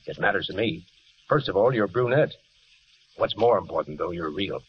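An actor playing an earnest, smitten young army lieutenant in a vintage radio drama, brisk and direct. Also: male voice, low voice, lively intonation. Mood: intrigued. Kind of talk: 1930s-1940s radio drama acting. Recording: noisy, muffled old radio broadcast.

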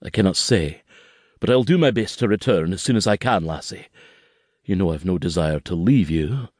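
A tender father, as a male reader puts him on, gentle and reassuring, his low voice rising and falling in lively swings. The sound is close and dry, with no room around it. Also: moderate pace, dry recording. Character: Highland father, tender and steady.

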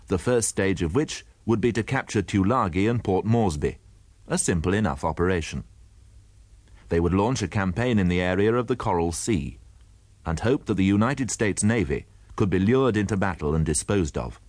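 A man narrating a history in a measured, formal scripted style. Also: on the low side, a little mellow, no room sound.

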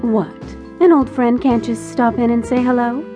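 A woman's voice: on the high side, fairly even intonation, normal speed, dark tone, faint music behind, natural voice. A woman playing a smug, catty rival actress in an audio drama, with a mocking, theatrical manner.

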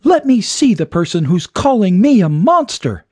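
Male narrator playing a fiery young adventurer girl, high-pitched, swooping, defiant, audiobook character. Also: sing-song, moderate pace, mellow, breathy edge, dry recording, clean studio sound, exaggerated voice. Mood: defiant, angry. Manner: defiant, exclaiming. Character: brave, hot-tempered young heroine, female adventurer.

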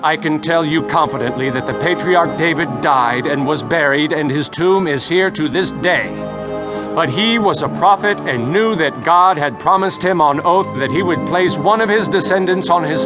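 An actor playing an earnest apostle preaching boldly, a man declaiming deliberately in a dramatized audio Bible. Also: on the high side, natural voice. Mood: assured, urgent. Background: music.

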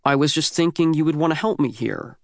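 A geeky teenage boy acted by a man in an audiobook, pitched above the narration. He wheedles and hedges, casual and awkward, in a close dry recording. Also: no room sound, natural voice. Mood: wheedling, defensive. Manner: casual, hedging, halting persuasion.

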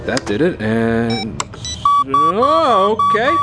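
Brash, animated young space cadet. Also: male voice, mid-range voice, drawn-out words, exaggerated voice. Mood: worried. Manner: stammering when challenged. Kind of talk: radio play acting. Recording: loud music behind radio drama.

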